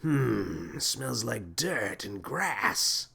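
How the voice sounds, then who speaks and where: A man voicing a mad, excitable male space captain in a children's audiobook, with a theatrical, exclamatory manner. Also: lowish voice, extremely slow, vocal fry, husky, heavily acted. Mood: childlike delight. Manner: theatrical, exclamatory, swinging from menace to delight.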